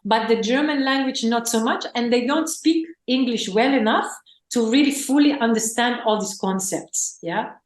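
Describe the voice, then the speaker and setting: A woman with a slightly high voice talks effusively about her teaching, often restarting her sentences. Her voice rings in a roomy, echoey space. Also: moderate pace. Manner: effusive, rambling, frequent restarts. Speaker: guest, spiritual teacher. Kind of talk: enthusiastic interview talk.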